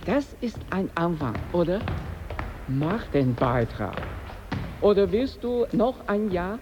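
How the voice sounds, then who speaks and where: A man's voice: high voice, sing-song, slow pace, noisy background, heavily acted. An actor playing an earnest, reproachful male friend in a radio drama, reasoning insistently.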